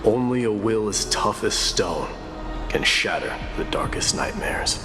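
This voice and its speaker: A deep-voiced, stately warrior prince in a fantasy game speaks with slow, formal gravity. He sounds proud and stern, set in an echoing hall with loud music behind him. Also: animated. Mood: solemn resolve. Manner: formal, solemn, measured speech. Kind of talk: dramatic video game voice acting. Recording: echoey hall reverb under loud music.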